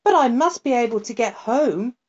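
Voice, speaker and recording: A woman's voice: a little high, animated, normal speed, voice pushed up. A woman voicing a frightened, polite little girl who pleads anxiously. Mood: worried. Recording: dull lo-fi recording.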